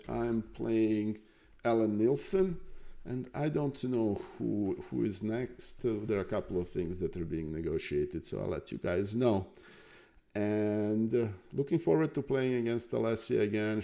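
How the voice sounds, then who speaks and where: Male streamer from Serbia, low and relaxed voice, halting off-the-cuff chat to an online audience. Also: creaky. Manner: halting, thinking aloud. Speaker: chess streamer, host. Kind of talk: casual livestream chat to viewers.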